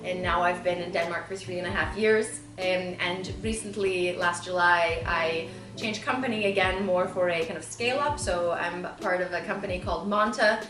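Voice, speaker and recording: Woman, thirties, lower register, conversational interview guest explaining herself, recorded roomy and off-mic. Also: normal speed. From born in Bulgaria, grew up in Southern California. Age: 30s. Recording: roomy echo, distant mic, faint music.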